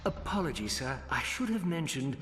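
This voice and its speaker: An actor playing a deferential robot butler sidekick in a video game, formal, deadpan and unflappable. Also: male voice, fairly high pitch, moderate pace, background noise. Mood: apologetic. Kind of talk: animated video game acting. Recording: echoey, long reverb, loud music behind.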